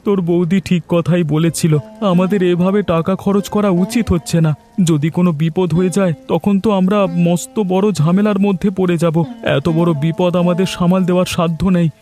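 A man's voice: sits high, fairly even intonation, fairly quick, mellow, lightly acted. A man voicing an earnest village farmer and husband in a cartoon, with music behind him. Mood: worried. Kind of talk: cartoon dialogue acting. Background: background music.

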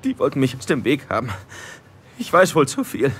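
An actor playing a frightened, whiny young small-time crook in an audio drama, husky and nervous. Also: male voice, medium pitch, sing-song, a little fast. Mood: scared. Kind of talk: German audio drama acting.

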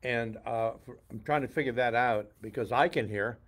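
A man running the stream, giving halting reminders with many fillers. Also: mid-range voice, a bit bright. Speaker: stream producer. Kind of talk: casual instructions.

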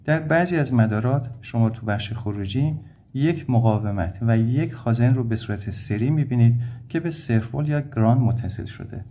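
A man's voice: medium pitch, mellow, slight background noise. A man from Iran explaining step by step as an electronics instructor, in a plain, methodical way. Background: background music.

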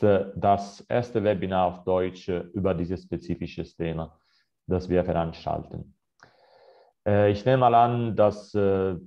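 A man with an Italian accent presents a business webinar from a home office over a video call. His voice sits very low and sounds mellow and dark. The call audio is a bit dull, and he hesitates often with fillers. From Italy. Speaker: account manager presenting a webinar.

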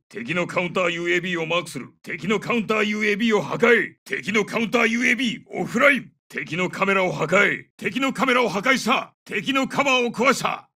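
A battle-hardened special forces captain from a shooter game, a middle-aged man. His voice is raised high and hard-edged, delivering short, urgent orders and reports with military authority. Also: pushed and bright, recorded from a distance, exaggerated voice. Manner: terse, commanding, urgent. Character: gruff bearded veteran male special forces captain. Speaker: video game soldier character. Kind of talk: Japanese game dub, short tactical callouts.

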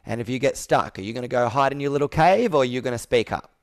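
A man's voice: mid-range voice, fast. A man in his thirties coaching sales on a video call, talking in a persuasive, emphatic way with repeated phrasing. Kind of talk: live sales roleplay coaching. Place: indoor room with whiteboard, on video call.

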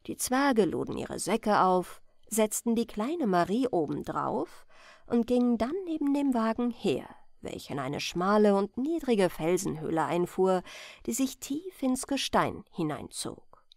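A woman's voice: medium pitch, sing-song, a little slow, darkish tone, no room sound, studio quality. A woman reading a bedtime fairy tale as a scripted narration.

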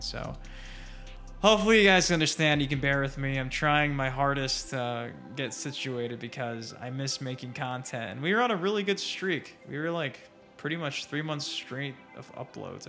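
A man's voice: mid-range voice, vocal fry, bright, forceful tone. A man hosting a gaming channel, giving informal, rambling commentary on a gameplay trailer and talking straight to viewers. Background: music.

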